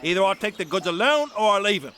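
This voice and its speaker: High, sharp, impatient wagon driver played by a man. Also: very animated, fast, heavily acted. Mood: impatient, dismissive. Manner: curt, dismissive. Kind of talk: audio drama acting.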